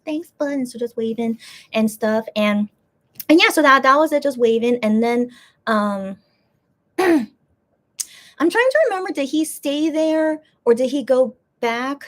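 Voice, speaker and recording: Woman streamer, slightly high voice, casual rambling storyteller reading chat. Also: lively intonation, measured pace, pauses often. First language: English. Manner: rambling, many restarts and fillers.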